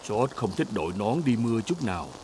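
A man's voice: lowish voice, very animated, fairly quick. A man reading scripted narration for a children's cartoon. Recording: loud music bed behind.

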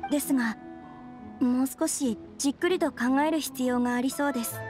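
Refined, hesitant young noblewoman, played by a woman, high-pitched, formal and demure, anime game dialogue with faint music. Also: brisk, close to an everyday voice. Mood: pensive. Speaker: bride-to-be of a noble clan.

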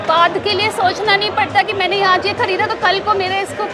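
A woman, explaining products as a company representative in an interview, with hesitant pauses. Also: very high-pitched, level tone. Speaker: company representative at a trade exhibition stall. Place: indoor trade fair booth. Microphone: clip-on lapel mic. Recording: busy exhibition hall crowd, background music. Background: crowd chatter and music.

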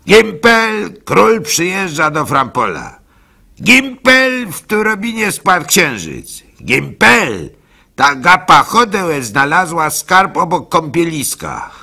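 Radio play bit part: a man as goading village prankster, pitched high, forceful, rough-edged calls. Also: big pitch swings, slow, heavily acted. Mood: urgent, teasing. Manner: taunting, urgent calling out. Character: mocking village pranksters shouting tall tales at the fool. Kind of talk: dramatized radio monologue.

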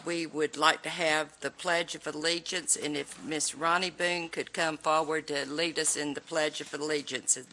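An older woman with a hoarse cold voice, chairing a public board meeting formally, with many fillers, into a desk microphone in a large room. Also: deep voice, bright, forceful tone.

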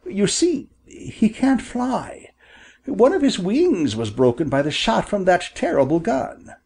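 A man plays a confident father quail in a children's storybook. He explains things in an earnest, reassuring way, with a raised pitch and very lively swings. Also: slow pace, slightly rough, full character voice. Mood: earnest explaining.

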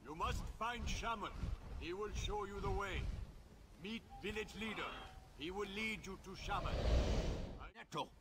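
An actor playing a grave alien villager who gives terse, broken commands. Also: male voice, high-pitched, a little slow, noisy background. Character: Na'vi villager guide, grave and urgent.

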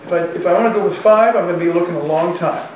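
A man in his sixties lectures like a professor thinking aloud, in colloquial language. His voice sits fairly high. It is picked up from some distance in a very echoey classroom, with faint background noise. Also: rapid-fire, darkish tone, muffled recording. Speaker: university economics professor. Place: university classroom in front of chalkboard.

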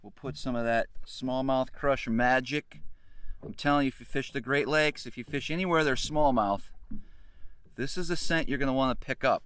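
A man in his forties chatting casually to camera as a fishing vlogger, laid-back and halting as he thinks aloud, recorded outdoors on a boat. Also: medium pitch, fairly bright tone. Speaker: fishing vlog host. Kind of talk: casual chat, explaining to camera. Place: open boat deck on a lake, outdoors. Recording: outdoor camera audio with clear boat noise.

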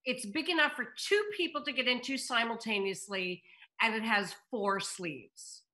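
A woman's voice: a little high, moderate pace, sharp tone. A middle-aged woman hosting a table read over video call, reading stage directions and addressing viewers. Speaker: table read narrator and host.

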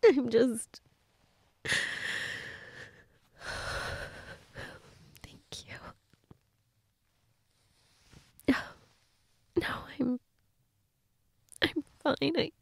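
A woman acting a grieving girlfriend in an intimate audio role-play, crying and fragile, halting and repeating herself, often dropping to a whisper. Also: high-pitched, gravelly, slightly rough, dry recording, heavily acted. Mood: tearful, fragile. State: crying, sniffly, breathy from tears. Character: grieving girlfriend, tearful and fragile, insisting she's fine.

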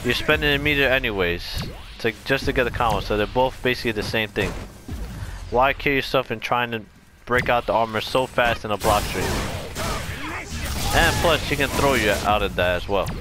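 A man's voice: mid-range voice, normal speed, music in the background. A man giving a casual, unscripted gameplay explanation, rambling and correcting himself as he goes. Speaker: video game tutorial creator.

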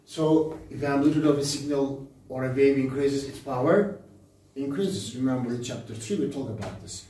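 A man's voice: medium pitch, normal speed, mellow, a little hiss or hum. An older man with a Turkish accent, a native Turkish speaker, teaching a classroom lesson step by step in short, repeated phrases. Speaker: physics teacher. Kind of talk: classroom lecture explanation. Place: small indoor classroom at a smartboard. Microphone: camera several metres away. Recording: very echoey room, distant microphone.

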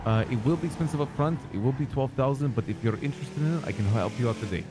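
A young man with an average-pitched voice, rattling off a sales pitch at speed. Clear background noise runs through the game audio. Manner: fast, salesman-like, swears casually. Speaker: game roleplayer selling computers. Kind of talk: casual gaming chat with friends.

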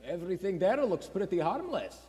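Actor as uneasy male plotter, high pitch, lively intonation, curt, radio play, echoing hall. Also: brisk. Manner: terse, uneasy. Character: nervous, curt male conspirator. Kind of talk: live radio play acting. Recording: echoey theater hall.

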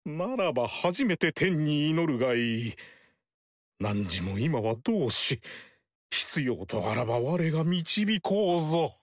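An actor playing a grave older sage in a video game who speaks in archaic, preachy phrasing, urging prayer to heaven. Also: male voice, on the high side, big pitch swings, takes it slowly, no room sound, studio quality, exaggerated voice. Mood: solemn. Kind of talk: video game cutscene dialogue.

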